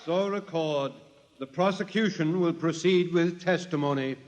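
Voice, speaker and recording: An actor playing a solemn judge making formal courtroom pronouncements, in 1950s radio drama. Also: male voice, on the high side, measured pace, natural voice. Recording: distant old broadcast with long reverb.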